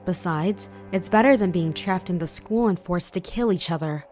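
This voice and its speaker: A woman's voice: fairly low pitch, moderate pace, darkish tone, soft background music, lightly acted. A woman voicing a resolute, stoic teenage girl in an anime fan dub, earnest and restrained. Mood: calm, resolute.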